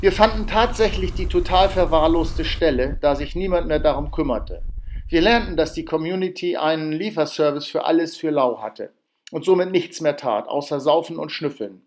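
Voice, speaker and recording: A man's voice: on the high side, moderate pace. A man narrating his outback road trip as a voice-over, matter-of-fact with wry asides. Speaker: travel vlogger narrating. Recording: faint background noise.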